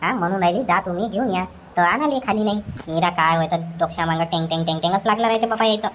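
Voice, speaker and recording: A woman voicing a nagging, scolding old mother-in-law in a cartoon comedy, with a rapid ranting way of talking. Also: on the low side, slight background noise, close to an everyday voice. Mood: grumbling.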